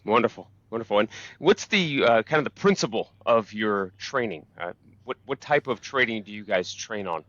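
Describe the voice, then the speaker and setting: A man's voice: fairly low pitch, animated, moderate pace, fairly bright tone, dry recording. Upbeat male host, dry muffled sound. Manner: friendly, upbeat interviewer. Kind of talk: live interview.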